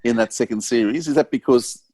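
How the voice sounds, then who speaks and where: A man interviews a guest in an earnest, chatty way. His voice is medium in pitch and recorded dry, and he often restarts as he searches for words. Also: a little fast, mellow, slightly rough, no echo. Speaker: podcast host.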